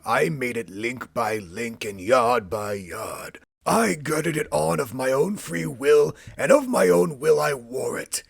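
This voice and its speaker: A man voicing a doomed old male ghost, speaking in a slow, drawn-out, mournful way in a storybook reading. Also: medium pitch, expressive, gravelly, breathy edge, dry recording, clean studio sound, pitched above the performer's own voice, exaggerated voice. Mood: solemn. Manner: slow, wailing, drawn-out delivery.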